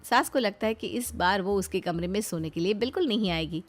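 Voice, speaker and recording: A woman's voice: mid-range voice, brisk, faint music behind. A woman reading a scripted family story as narrator.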